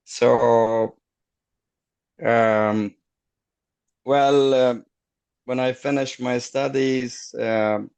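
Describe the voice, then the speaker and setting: A middle-aged man, a guest on a video call, telling his story haltingly and searching for words. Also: mid-range voice, steady tone, vocal fry, darkish tone, smooth. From Afghanistan. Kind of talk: casual personal story.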